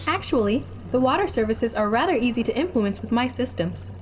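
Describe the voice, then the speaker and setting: A woman's voice: fairly high pitch, lively intonation, a little fast, soft background music, lightly acted. A woman voicing a polite, helpful female android companion who speaks in formal, precise phrases. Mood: calm. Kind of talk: audio drama acting.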